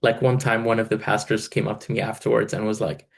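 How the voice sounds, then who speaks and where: A man's voice: on the low side, fast, creaky. A man from Egypt, in his thirties, a church financial controller and part-time pastor, answering interview questions casually with many fillers. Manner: relaxed, many fillers, laughs between phrases.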